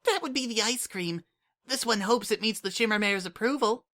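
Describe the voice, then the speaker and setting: A man doing a squeaky little changeling girl. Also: normal speed. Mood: eager. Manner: excited, high-pitched and insistent. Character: small eager young female changeling child.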